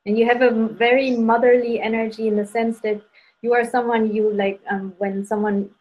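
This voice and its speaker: A woman from Germany with a German accent, hosting live spirit readings and guiding a meditation over a video call in a relaxed way, often saying "um" and "like". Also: on the high side, normal speed, dull audio. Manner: relaxed, many fillers like "um" and "like". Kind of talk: casual guided meditation and readings.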